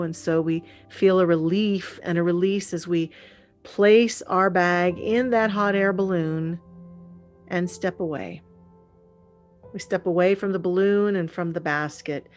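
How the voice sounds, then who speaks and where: A woman leading a guided meditation in a calm, soothing, unhurried way with frequent pauses. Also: lowish voice. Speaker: meditation leader. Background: gentle instrumental music.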